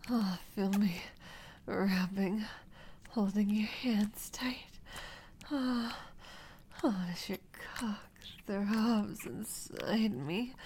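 Woman, close-mic intimate whisper, very slow and breathy, faint hiss behind. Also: mid-range voice. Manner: soft breathy whisper, soothing, teasing. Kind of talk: intimate whispered audio roleplay.

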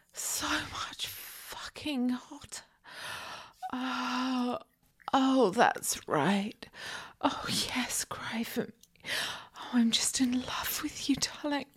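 A woman voicing a possessive, sadistic dominant woman in an audio roleplay, whispering breathily with sighs and low laughs. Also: very slow, fairly bright tone, slightly rough, heavily acted. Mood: breathless, heated.

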